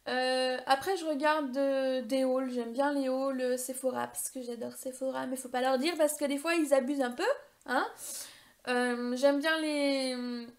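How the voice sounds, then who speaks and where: A woman's voice: high voice, steady tone, a little slow. A woman in her thirties answering viewer questions in a casual vlog, chatty and rambling, with many hesitations and self-mocking humor. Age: 30s. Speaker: beauty YouTuber.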